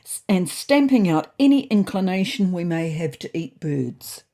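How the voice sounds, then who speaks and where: A woman's voice: on the low side, expressive, a little slow, warm tone. A woman voicing a prim, cautious leader of an animal group, speaking formally and earnestly.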